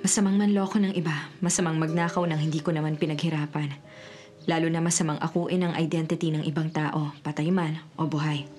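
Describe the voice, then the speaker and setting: A woman's voice: low-pitched, flat delivery, brisk, a little mellow, soft background music, natural voice. A Filipino actress playing a repentant woman con artist in a radio drama. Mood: earnest, relieved. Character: repentant female con artist starting over honestly.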